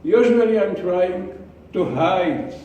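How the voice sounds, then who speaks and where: A man with an Israeli accent giving a prepared speech to a club, slow and deliberate, with pauses and repeated words. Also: high voice, highs cut off, a little hiss or hum. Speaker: club speaker. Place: meeting room. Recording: echoey room from far off.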